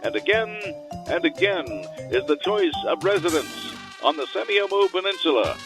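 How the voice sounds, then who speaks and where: Male commercial announcer, high voice with lively swings, music bed underneath. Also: normal speed. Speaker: advertisement announcer. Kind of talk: scripted radio commercial read.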